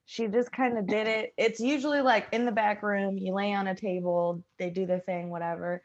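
A woman's voice: medium pitch, fairly even intonation, normal speed, dull audio. A woman with an American accent chatting casually and animatedly on a video call, with the odd swear word. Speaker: podcast cast member. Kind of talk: casual chat.

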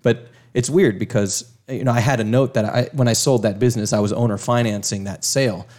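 A man's voice: medium pitch, steady tone, brisk, a little mellow. A man talking candidly as a podcast guest, reflecting on losing money and what it taught him. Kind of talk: casual chat.

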